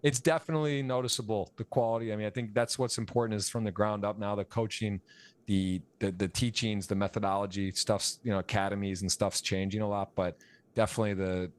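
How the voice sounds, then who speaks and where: An American man calling a live poker stream, rambling and repeating words, and getting excited on big hands. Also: on the low side, a little fast. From United States. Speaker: poker commentator and stream host. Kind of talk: live play-by-play commentary.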